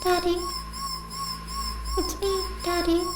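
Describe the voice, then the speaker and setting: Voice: very high-pitched, wildly swooping pitch, takes it slowly, faint music behind, heavily acted. A performer voicing an eerie childlike creature that pleads for its father, in a horror audio drama. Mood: eerie, pleading.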